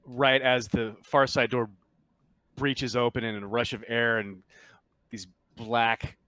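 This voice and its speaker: A man working as game master, narrating a tabletop game in a halting, conversational, improvised way. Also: medium pitch, flat delivery, pushed and bright, smooth, no echo.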